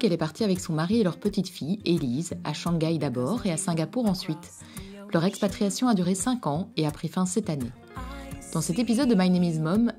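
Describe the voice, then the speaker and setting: A woman's voice: fairly low pitch, mellow, echoey room. A woman hosting an interview podcast, asking questions in a friendly way. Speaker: podcast host.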